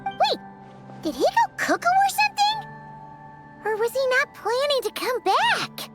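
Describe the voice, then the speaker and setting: A woman voicing a small, childlike, excitable floating fairy companion in a video game, with a squeaky, exclamatory delivery. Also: a little slow, over music, full character voice. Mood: curious, puzzled. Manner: exclamatory, blunt.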